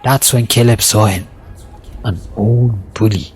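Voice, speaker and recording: A man's voice: lowish voice, a little slow, slightly rough, faint music behind. A man narrating a scripted dramatic story as its storyteller.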